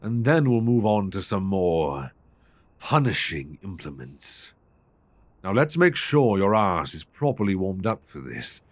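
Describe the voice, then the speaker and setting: A British man playing a stern, possessive, dominant lover in an intimate ASMR roleplay, speaking deliberately and commandingly. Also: low voice, very animated, a little hiss or hum. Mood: calmly commanding. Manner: slow, deliberate, commanding with soft endearments.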